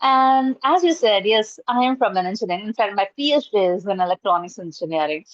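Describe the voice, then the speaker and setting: A woman's voice: mid-range voice, expressive, brisk, dry recording, dull audio. A middle-aged woman from India with an Indian accent, whose first language is Urdu, answering interview questions earnestly over a video call with many fillers and restarts. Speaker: guest, college professor and trainer. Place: indoors at home, curtain behind.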